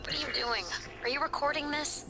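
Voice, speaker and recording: A woman's voice: high-pitched, fairly even intonation, fairly quick, over music. An actress playing a blunt, teasing, take-charge woman on a space station in a video game, with a dry, assertive manner. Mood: mock annoyed. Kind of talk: naturalistic video game voice acting.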